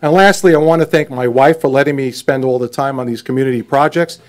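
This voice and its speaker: A man's voice: mid-range voice, quick pace. A man giving a chatty, anecdotal speech at a podium, dropping in self-deprecating asides. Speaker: event emcee.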